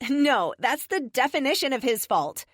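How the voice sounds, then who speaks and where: A woman voicing a wry, teasing, sharp-tongued superheroine in an audiobook. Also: high-pitched, sing-song, moderate pace, dry recording, studio quality, voice pushed up. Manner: wry, mocking.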